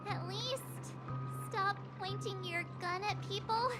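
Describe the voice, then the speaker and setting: A woman's voice: very high voice, takes it slowly. An actress playing an earnest, flustered young woman in a video game. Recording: game audio with loud music.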